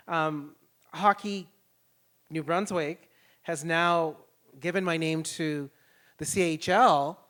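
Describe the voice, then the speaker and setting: A man's voice: a little high, fairly bright tone. Impassioned man on a panel. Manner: impassioned, emphatic. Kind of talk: panel discussion. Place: public panel event before an audience.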